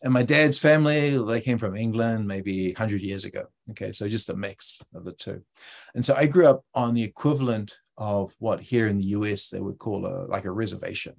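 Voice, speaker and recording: A man with a New Zealand accent and a fairly low voice gives an informal talk over a video call. His phrasing is conversational and a little hesitant, as a guest designer explaining his work. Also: a little fast, gravelly. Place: indoor room on a video call.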